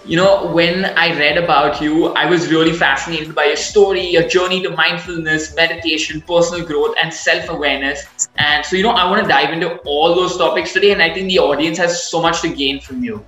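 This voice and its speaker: A man's voice: fairly high pitch, steady tone, fairly quick, sharp tone, reverberant, poor audio. A man hosting a podcast in a conversational interview style. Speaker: podcast host.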